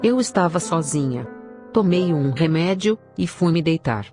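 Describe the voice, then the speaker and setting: A woman with a Brazilian accent reads a dramatic religious testimony as its narrator. Her voice sits quite low for a woman. Prominent music plays behind her scripted delivery. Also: expressive, unhurried.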